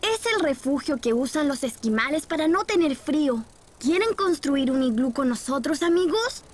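A woman's voice: high voice, big pitch swings, normal speed. A woman voicing a cheerful, helpful little boy in a preschool cartoon, explaining things step by step in a friendly way. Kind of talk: Spanish-dubbed preschool cartoon acting.

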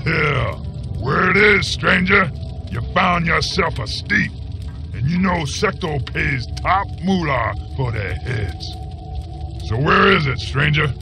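A creaky, high male voice playing a scheming outlaw gang boss in a western game. He sounds American, folksy and thuggish, with music clearly behind him. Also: animated, slow pace, exaggerated voice. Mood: menacing. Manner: menacing, folksy. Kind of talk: western video game acting.

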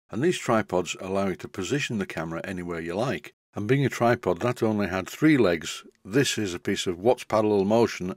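Dry-humoured older man, low voice. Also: dry recording. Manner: self-deprecating, dry humour. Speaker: model engineering tutor. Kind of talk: scripted voice-over narration.

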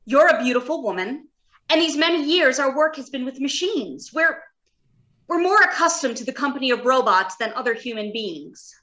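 Earnest, troubled doctor, played by a woman: high, sharp, deliberate radio-drama delivery. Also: expressive. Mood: uneasy, confessing. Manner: emphatic, deliberate, with dramatic hesitations. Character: earnest, uneasy robot scientist, a doctor. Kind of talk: old-time radio drama acting. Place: home, remote video call.

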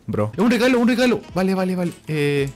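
A young man chatting excitedly while playing a game, often shouting in surprise and mixing in English slang. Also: high voice, fairly quick. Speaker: gaming YouTuber. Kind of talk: excitable gameplay chat. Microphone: saturated home mic. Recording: distorted mic with game music behind.

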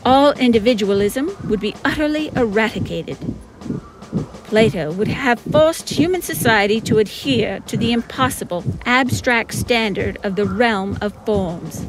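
A woman's voice: a little high, unhurried, noisy background. A woman reading scripted comic narration with theatrical, wry emphasis. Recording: music behind the voice.

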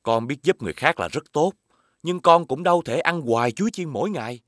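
A man's voice: a little high, animated, brisk, a bit bright, no echo, studio quality. A man voicing a patient, reasonable father in a children's cartoon, encouraging in a southern Vietnamese accent. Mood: gently chiding.

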